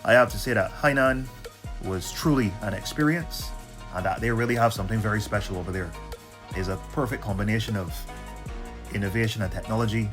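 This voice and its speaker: A man in his thirties with a Bajan accent hosts a travel vlog. His voice sits a little low, and he talks in a casual, colloquial, laid-back style. Music plays faintly behind him. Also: a little fast. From Barbados. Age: 30s. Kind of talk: casual travel narration and interview answers.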